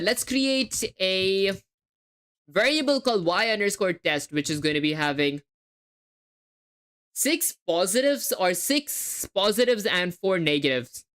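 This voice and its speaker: A woman's voice: lowish voice, a bit bright. A young woman with a hard-to-place hybrid accent, live coding and thinking aloud, spelling words letter by letter and chatting with viewers. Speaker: livestreaming coder.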